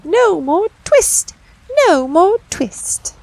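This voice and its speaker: A woman's voice: very high-pitched, extremely slow, pitched above the performer's own voice, full character voice. A woman voicing a chorus of tiny merry mice who sing nursery rhymes in a gleeful, twittering sing-song. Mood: taunting chant.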